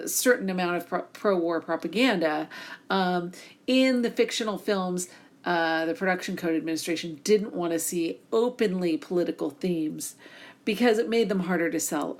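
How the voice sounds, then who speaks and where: A woman with a hoarse voice from a cold, lecturing casually over slides with many fillers. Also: on the low side. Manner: casual, many ums, reads slides aloud. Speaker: instructor.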